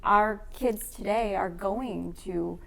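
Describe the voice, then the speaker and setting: A woman's voice: medium pitch, takes it slowly, creaky, a bit bright, slight background noise. A woman interviewing as a talk show host in an encouraging, conversational way.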